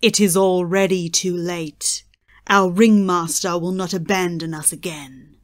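A woman's voice: on the low side, steady tone, lightly acted. A woman playing an ominous, mysterious fairground fortune teller in an audio drama, with a portentous manner. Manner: slow, portentous.

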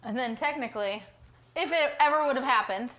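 A woman's voice: high voice, fairly quick. Chatty woman cooking in a home kitchen. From South Florida. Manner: relaxed, thinking aloud with many ums while cooking and tasting. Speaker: cooking stream host. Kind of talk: casual cooking livestream chat.

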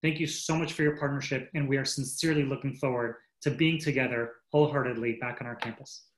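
A man's voice: mid-range voice, fairly even intonation, fast, echoey room. A man addressing parents on a video call in a heartfelt, pleading way as school leader, repeating his key words. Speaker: school head of school.

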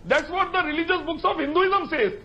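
A man's voice: very high-pitched, fairly quick, muffled recording. A man making an insistent argument, listing points one after another. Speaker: debate speaker. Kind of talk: televised argument.

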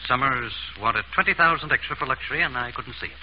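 An actor playing a guarded racehorse owner in a 1930s radio drama, polite and matter-of-fact. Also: male voice, mid-range voice, fast, lightly acted. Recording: scratchy, dull old radio broadcast.

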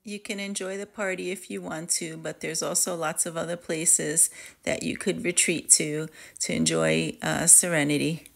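A woman's voice: low voice, level tone, moderate pace, creaky. A woman travel vlogger giving a casual voice-over review of a trip.

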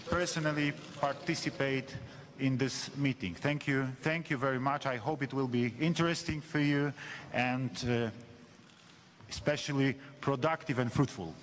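Polish-accented man, host opening a summit, very slow and deliberate, echoing palace hall. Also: medium pitch. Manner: slow, careful, halting English. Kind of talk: formal welcome address. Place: large ornate palace hall. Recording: long hall reverb with faint noise.

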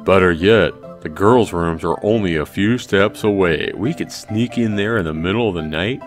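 A man's voice: deep voice, normal speed, faint music behind, close to an everyday voice. A man voicing a cocky, goofy teenage schoolboy from a visual novel, brash and teasing. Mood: eager, cocky. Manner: brash, teasing dude talk.

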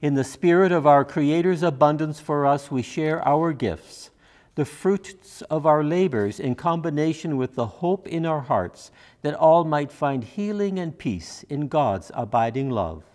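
A man in his seventies reading liturgy and prayers as a minister in a measured, formal, reverent manner. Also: on the high side, lively intonation, darkish tone. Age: 70s. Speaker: minister leading worship. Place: church sanctuary, indoors.

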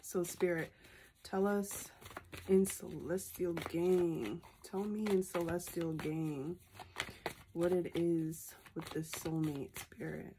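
A woman's voice: on the low side, fairly even intonation, slow, noisy background. Congested woman reading tarot to camera. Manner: repeats a questioning "okay?" after nearly every point. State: congested from a sinus infection. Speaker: tarot reader. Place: small room indoors. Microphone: phone camera at arm's length.

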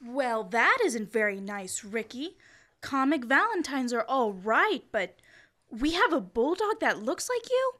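A young woman in her teens playing a gently chiding, reasonable suburban mother in old-time radio comedy style. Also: on the high side, very animated, measured pace, heavily acted. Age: teenager. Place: school library. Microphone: standing stage microphone.

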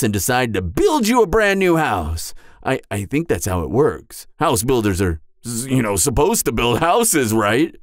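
A man plays a clumsy, kindhearted giant in an audio roleplay. His pitch swoops hugely as he rambles, hesitates and apologizes. The sound is dry and close, with no room around it. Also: dry recording. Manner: flustered, hesitant, rambling, animated. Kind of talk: roleplay audio drama monologue.

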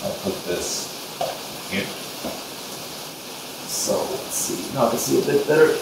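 Soft, slow Swedish man in rainy sunroom. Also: mid-range voice, vocal fry. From Sweden. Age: young adult. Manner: hesitant, thinks aloud while working, many fillers. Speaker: bonsai hobbyist vlogger. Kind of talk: casual hands-on tutorial chat. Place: small glassed sunroom indoors with rain outside. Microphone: camera mic from a distance. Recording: echoey distant camera audio with rain hiss. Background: steady rain noise.

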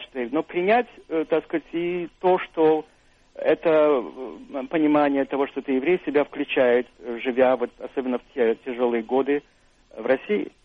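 A man's voice: on the high side, no room sound. An older man, an author and university professor, answering interview questions on the radio in a thoughtful, hesitant way. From Russia. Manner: thoughtful, hesitant, many fillers and restarts. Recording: narrow phone-like radio sound, dry.

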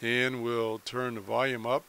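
Man, medium-pitched voice, hobbyist presenter, casual unscripted tutorial, halting phrasing, mid-sentence pauses. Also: little pitch movement, sharp tone, clean tone. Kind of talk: casual unscripted screen-capture walkthrough.

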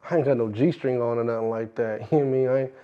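A man's voice: medium pitch, fairly even intonation, fast talker, clear, resonant tone, lo-fi sound, voice pushed down, natural voice. A man playing a complaining, nervous club DJ in acted film dialogue, speaking in a hesitant, pleading, stumbling way.

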